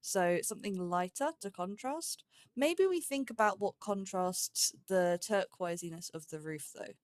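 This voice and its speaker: A woman's voice: medium pitch, animated, unhurried, darkish tone, dry recording, clean studio sound. A woman giving casual gameplay commentary, chatting and thinking aloud to herself as she goes. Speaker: host of a gaming let's play series.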